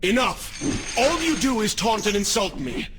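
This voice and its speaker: An actor playing a proud, regretful young prince, speaking formally and earnestly in a dramatic video game. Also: male voice, high-pitched, big pitch swings, a little slow, over music. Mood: indignant. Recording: noisy game capture with sound effects.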